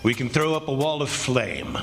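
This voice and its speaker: A man playing a smug, confident and domineering plantation owner in a 1940s-style radio drama, with a brisk, commanding manner. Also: mid-range voice, sing-song, vocal fry, a bit bright. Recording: noisy stage recording with clicks.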